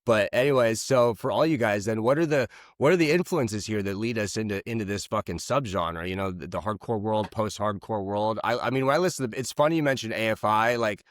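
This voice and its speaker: A man's voice: on the low side, brisk, hardly any pauses, no room sound, clean studio sound. A young man from Canada hosting a podcast, chatting casually in a jokey way with offhand swearing. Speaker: podcast host. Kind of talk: casual interview chat.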